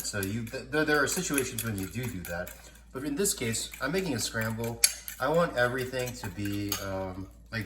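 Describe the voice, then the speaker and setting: A man's voice: on the low side, a bit bright, reverberant. A man with a congested voice giving a chatty, casual cooking demonstration in his home kitchen. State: congested from a cold. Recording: clear kitchen noise, frying, clatter.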